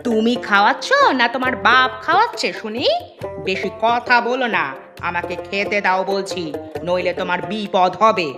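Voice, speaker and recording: A woman voicing a gluttonous village wife in a cartoon, sharp-tongued, defiant and easily offended. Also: medium pitch, moderate pace, lightly acted. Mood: angry, defiant. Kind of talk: Bengali cartoon dubbing. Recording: music clearly behind the voice.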